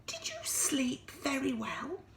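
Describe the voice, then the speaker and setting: A woman voicing a gentle, kindly mother bear who speaks softly in a breathy half-whisper. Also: high voice, wildly swooping pitch, slow, noisy background, voice pushed up, heavily acted.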